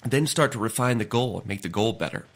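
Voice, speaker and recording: A man with a lowish voice, nasal and stuffy from a head cold. He talks in an earnest, conversational way, hosting a solo episode and repeating words as he thinks aloud. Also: fast, a bit bright. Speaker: podcast host. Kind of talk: solo motivational talk.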